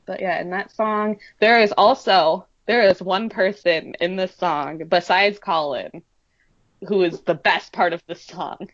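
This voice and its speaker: A woman's voice: unhurried, no echo. A woman co-hosting a fan podcast, chatting enthusiastically and stretching words for emphasis. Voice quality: deep singing voice. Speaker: podcast co-host. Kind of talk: casual fan chat. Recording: dull, dry lo-fi audio.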